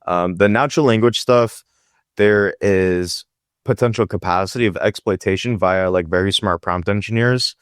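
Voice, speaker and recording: Low, smooth-voiced man talking casually. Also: normal speed, dry recording, studio quality. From Pakistan. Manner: casual, filler-heavy, lots of "like" and "right?". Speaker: guest, startup founder. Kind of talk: casual podcast interview.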